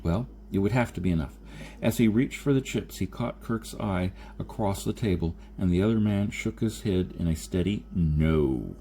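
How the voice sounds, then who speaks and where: A man narrates a science fiction tale in a relaxed, dark-toned voice that sits a little low. He reads from a script as an audiobook narrator. There is some faint noise behind him. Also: normal speed. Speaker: audiobook reader. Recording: noticeable background noise.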